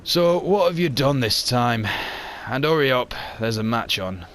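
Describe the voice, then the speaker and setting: Man voicing a gruff ship captain, curt, dry, blunt, lively pitch. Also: a little slow, slight background noise. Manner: curt, dry, short sentences. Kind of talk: amateur motion comic voice acting.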